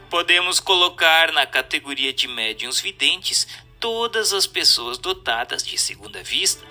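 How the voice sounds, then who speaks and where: Male Brazilian narrator, very bright and forceful, lively intonation, formal audiobook read. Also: on the high side. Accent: Brazilian Portuguese. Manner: didactic, formal. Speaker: narrator reading a book aloud. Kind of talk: scripted audiobook-style narration.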